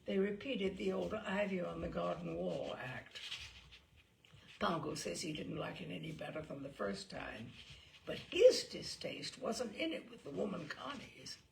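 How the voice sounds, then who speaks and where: A woman reading a comic story aloud in an expressive, droll, theatrical narrating style. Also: fairly low pitch, measured pace, creaky, a little hiss or hum. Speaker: story reader.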